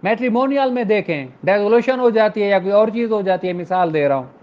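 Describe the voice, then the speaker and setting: Pakistani guy teaching law informally, pretty high voice, muffled lo-fi sound. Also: lively intonation. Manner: explanatory, switches between Urdu and slowly read English. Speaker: law lecturer. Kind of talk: informal lecture, reading out and explaining legal articles. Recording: dull lo-fi recording.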